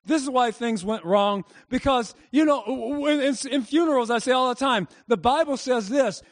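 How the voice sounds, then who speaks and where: Male pastor, high voice, emphatic preacher who works the congregation with call-and-response, dry close sound. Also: moderate pace, no echo. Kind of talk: sermon. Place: church service before a congregation.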